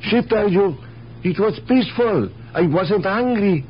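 Halting, anxious immigrant grocer. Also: male voice, high-pitched, expressive, slightly rough, exaggerated voice. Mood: nervous, placating. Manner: halting, broken English, defensive. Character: meek, anxious immigrant grocer protesting his innocence. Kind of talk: radio drama acting. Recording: dull, hissy old radio broadcast with hum.

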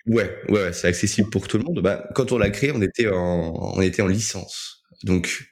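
A young man with a low-pitched voice speaks as a guest in a casual interview. He tells his story in a hesitant way, often repeating words as he looks for the next one. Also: fairly quick. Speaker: interview guest, co-founder of a digital media outlet.

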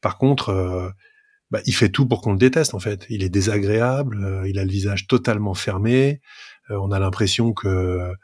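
A man's voice: fairly low pitch, moderate pace, a little mellow, dry recording, clean studio sound. A man co-hosting a sports podcast, talkative and emphatic as he gives his opinions in casual discussion. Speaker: rugby podcast co-host.

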